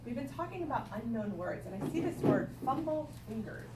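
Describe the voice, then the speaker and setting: A woman leads a read-aloud lesson as an elementary school teacher, with a highish voice that swings up and down. She questions the children and repeats their answers. The recording is echoey and distant, with clear background noise. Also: sing-song, a little fast. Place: classroom with young children. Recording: echoey room, distant mic, noisy background.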